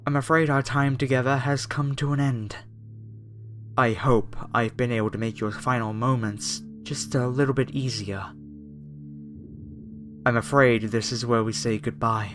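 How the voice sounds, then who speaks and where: A man playing a gently menacing, fervently devout cult zealot in a horror ASMR roleplay. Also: mid-range voice, over music, lightly acted. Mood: solemn, tender. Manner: slow, soothing, solemn, menacingly tender.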